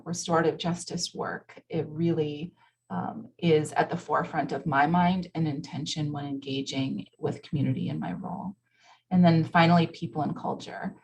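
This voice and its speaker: A woman speaking as a panelist in a measured, articulate, professional way, introducing her work in a panel discussion. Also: low-pitched, husky, poor audio. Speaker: panelist, hospital psychologist and diversity executive.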